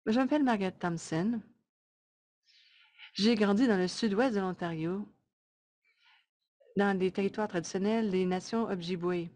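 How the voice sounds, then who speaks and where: A woman interpreting a webinar presentation live, in measured, halting short phrases. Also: mid-range voice, darkish tone.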